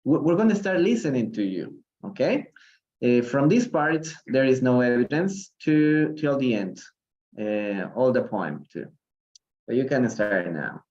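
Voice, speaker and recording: A man's voice: medium pitch, expressive, unhurried, darkish tone, dull audio. A man from Peru teaching an English reading lesson on a live stream, encouraging his students with many fillers and hesitations. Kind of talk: live online reading lesson, switching to Spanish. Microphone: livestream call audio.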